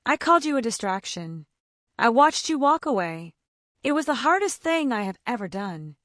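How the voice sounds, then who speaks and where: A woman's voice: fairly high pitch, very animated, normal speed, fairly bright tone, dry recording, clean studio sound. Woman voicing a remorseful young billionaire heir. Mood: pleading.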